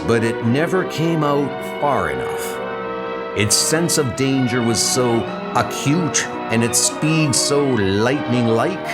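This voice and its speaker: A man voicing a serious, seasoned monster hunter who explains gravely in a comic book dub. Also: mid-range voice.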